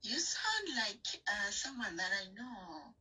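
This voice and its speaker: A woman's voice: medium pitch, sing-song, slow pace, sharp tone, breathy edge, recorded from a distance. A woman from Zimbabwe, a gospel singer, speaking earnestly on a phone-in radio interview with frequent restarts. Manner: earnest, prayerful, rambling with restarts.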